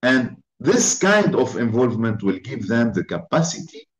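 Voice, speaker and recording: A man giving a formal conference talk, speaking in slow, emphatic phrases. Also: mid-range voice, big pitch swings, reverberant, rough recording. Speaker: invited speaker.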